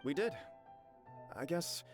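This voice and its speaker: A man's voice: on the high side, expressive, slow, over music. An actor playing an earnest, lovestruck young man about to be married in a game, speaking from the heart. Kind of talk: game dialogue.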